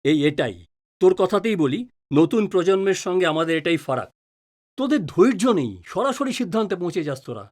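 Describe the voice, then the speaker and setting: A man's voice: high-pitched, animated, a little fast, darkish tone. A man playing a patient, easily flustered middle-aged father who is wrongly accused in an audio drama. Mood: indignant. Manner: stammers and repeats words when flustered. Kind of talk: Bengali audio drama acting.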